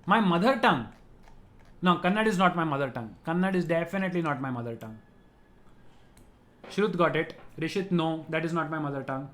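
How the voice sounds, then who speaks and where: A man's voice: on the high side, lively intonation, brisk, pauses often, fairly bright tone, reverberant. A middle-aged man with an Indian English accent, a native Tamil speaker, running a live online quiz class. He repeats each question, explains terms patiently and laughs easily while answering students. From Tamil-speaking family, raised in Gujarat.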